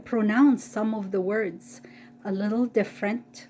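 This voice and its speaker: A woman from Egypt with an Egyptian accent and Arabic as her first language, reading Bible stories aloud in careful, halting English. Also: mid-range voice, steady tone, mellow, slight background noise. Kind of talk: slow read-aloud storytelling.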